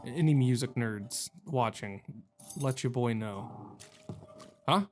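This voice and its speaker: A man's voice: mid-range voice, slow, soft background music. A man giving casual let's play commentary on a video game, thinking aloud in a hesitant, self-questioning, rambling way.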